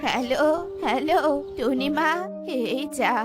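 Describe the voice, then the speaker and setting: A woman voicing a gentle, elderly grandmother bird in a children's cartoon. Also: sits high, measured pace, music in the background, voice pushed down. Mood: caring.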